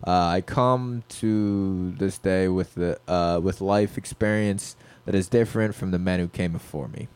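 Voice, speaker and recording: A man's voice: low voice, steady tone, measured pace, darkish tone, clear, resonant tone. A man from Pennsylvania co-hosting a casual podcast, rambling with lots of fillers and swearing freely. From Pennsylvania, Poconos area. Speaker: podcast co-host.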